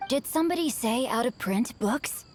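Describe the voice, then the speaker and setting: A woman's voice: on the high side, expressive, normal speed, a little mellow, a little hiss or hum, close to an everyday voice. A woman voicing a bookish young noble boy in a video game, speaking with formal, flowery politeness. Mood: eager, gracious.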